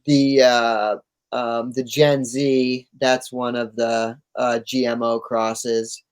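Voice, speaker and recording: A man's voice: mid-range voice, flat delivery, takes it slowly, clean tone. A man talking casually and enthusiastically as an interview guest, in laid-back, slangy language. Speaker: interview guest, cannabis grower.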